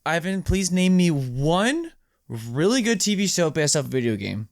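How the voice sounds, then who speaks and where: A young man co-hosting a casual podcast, talking bluntly with swearing and repeated, halting starts. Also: on the high side, big pitch swings, no echo, clean studio sound. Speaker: podcast co-host. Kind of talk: casual chat.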